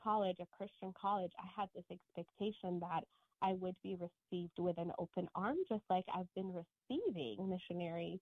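A woman from Ethiopia speaks as a guest on a radio show, earnest and measured, often restarting her sentences. Her voice sits on the low side. It comes through a tinny, dry phone connection. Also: no room sound. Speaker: guest author. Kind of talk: radio interview answers.

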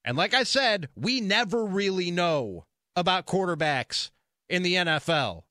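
Bright, high-pitched man on sports radio. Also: big pitch swings, a little slow, no room sound, clean studio sound. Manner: emphatic, insistent, repeating phrases for stress. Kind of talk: opinionated sports talk monologue. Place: dry radio studio. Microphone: close broadcast studio mic.

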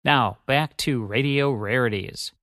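Male radio announcer, medium pitch. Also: a little slow, very noisy. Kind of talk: scripted program bumper.

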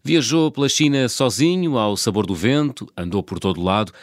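A man with a European Portuguese accent hosting an interview, friendly and quick to laugh, with short backchannel replies. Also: mid-range voice, no room sound, clean studio sound. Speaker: podcast host. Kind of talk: conversational interview.

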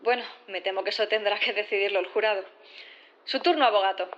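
A woman's voice: mid-range voice, fast, highs cut off, background noise, natural voice. An actress playing a shrewd, insistent prosecutor in a sci-fi audio drama, formal and rhetorical in her questioning. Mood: accusing, rhetorical. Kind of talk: audio drama courtroom acting.

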